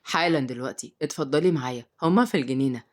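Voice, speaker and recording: A polite, kindly uncle, put on by a woman reader, gentle and courteous in a storybook drama. The voice drops low and soft, with measured manners. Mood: appreciative. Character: courteous, soft-spoken adult man, kind devoted uncle.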